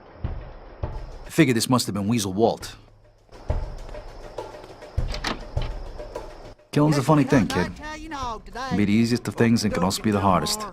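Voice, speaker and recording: Man voicing jaded american war vet trucker, low voice, hard-boiled game narration, music behind. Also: moderate pace, lightly acted. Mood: cold, reflective. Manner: world-weary, matter-of-fact, coarse-mouthed. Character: jaded tough male trucker, war veteran, crime narrator. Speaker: narrator and player character.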